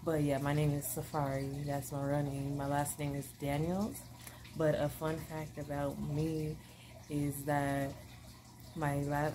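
A young woman talks to her camera at home in a low, relaxed register, bubbly and chatty, wandering through her thoughts with plenty of fillers. A steady hiss of background noise sits under the voice. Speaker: vlogger. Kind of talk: casual chat to camera. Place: small living room at home.

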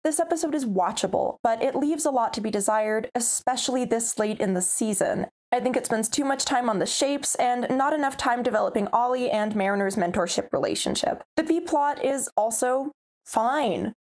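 A young woman reads out her opinionated episode review in a wry, conversational way. Her voice sits a little high. She is recorded at home on a mic just off camera. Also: animated, normal speed, darkish tone. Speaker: video reviewer. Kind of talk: scripted review narration. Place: home room with a starry backdrop.

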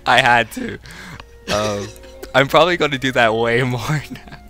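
A man's voice: medium pitch, a little slow, sharp tone, faint music behind. A man reading fan fiction aloud on a voice call, breaking off into casual, sardonic banter. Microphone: online voice call.

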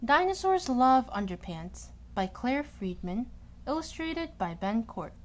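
A woman reading a children's picture book aloud in an expressive, deliberate storytelling manner, stressing key words. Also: fairly high pitch, a little hiss or hum.

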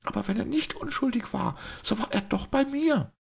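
A man voicing a brave young noblewoman with a clear, trembling voice, reading an audiobook. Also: very high voice, animated, normal speed, voice pushed up, full character voice. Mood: scornful.

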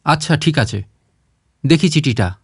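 Man voicing an impatient, brusque doctor, animated pitch. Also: unhurried, breathy edge, dry recording, studio quality. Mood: curt. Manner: curt, scolding questioning. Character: impatient, brusque, busy male city doctor.

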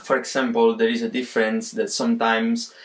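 Young Italian-accented man, flat and hesitant. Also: medium pitch, a little fast. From Sicily, Italy. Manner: hesitant, searching for words, flat delivery. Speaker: guest. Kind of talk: casual chat in a second language. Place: home room indoors.

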